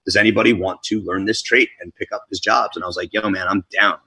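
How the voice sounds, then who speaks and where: Husky, bright-voiced energetic man. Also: low-pitched, quick pace, dry recording, rough recording. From born in Colombia, raised in New Jersey. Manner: energetic, talkative, street-casual. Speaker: guest DJ and MC. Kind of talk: casual interview storytelling.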